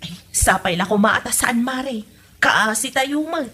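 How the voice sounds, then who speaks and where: A woman's voice: fairly high pitch, animated, measured pace, bright, forceful tone, husky, heavily acted. A woman playing a bossy, worrying wife in an amateur comedy audio drama, speaking in an urging, conversational way. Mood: neighborly, worried.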